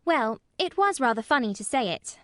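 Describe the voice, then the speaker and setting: A woman acts out a young storybook girl with a high voice. She is blunt, solemn and earnest, speaking emphatically and plainly in a dry, close sound. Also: animated, normal speed, dry recording. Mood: frank. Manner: frank, matter-of-fact, emphatic. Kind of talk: acted storybook dialogue.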